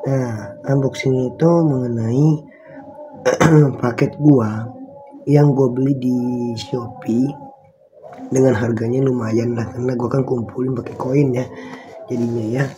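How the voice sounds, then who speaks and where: A hoarse young man, hosting a casual live-stream unboxing in rambling slang and clearing his throat often. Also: mid-range voice, fairly even intonation, unhurried, pauses often, dark tone, faint music behind, poor audio. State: hoarse from a cough. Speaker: channel host.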